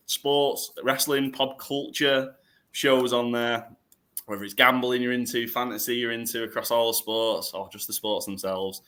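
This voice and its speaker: Slightly hoarse, bright-voiced male host. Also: mid-range voice. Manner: rambling, with restarts and fillers. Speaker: podcast host. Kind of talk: casual chat, hosting a draft show.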